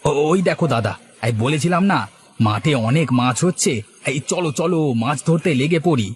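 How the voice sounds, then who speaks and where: A man's voice: on the high side, lively intonation, normal speed, breathy edge, no room sound, clean studio sound. A man voicing an eager, upbeat younger village neighbour in a cartoon story, urging and chiding with questions.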